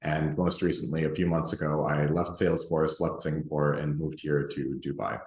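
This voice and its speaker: Very low male voice, relaxed webinar presenter, roomy echo. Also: normal speed. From Russia, grew up in the US. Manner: relaxed, conversational, many ums and restarts. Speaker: presenter and group organizer.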